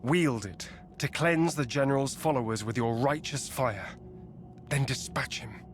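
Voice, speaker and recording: Weary guardian warrior played by a man, mid-range pitch, grave and formal, faint noise behind. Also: unhurried, natural voice. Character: weary, earnest immortal brother, warrior guardian of Egypt. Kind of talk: video game character acting.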